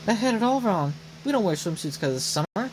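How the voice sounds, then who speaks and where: A woman's voice: medium pitch, lively intonation, brisk, a little hiss or hum, natural voice, poor audio. A woman voicing an earnest, brash young female sword fighter in an anime game, eager and blunt. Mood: earnest, determined. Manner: eager, blunt, plain-spoken. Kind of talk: voiced reading of anime game dialogue. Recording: loud game music behind voice.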